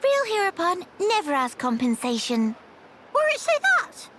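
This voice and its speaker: Casting: plucky little fluffy game creature, extremely high voice, very bouncy delivery, childlike broken speech, music behind. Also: female voice, wildly swooping pitch, moderate pace, fairly bright tone, a little hiss or hum, heavily acted. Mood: cheeky, boastful.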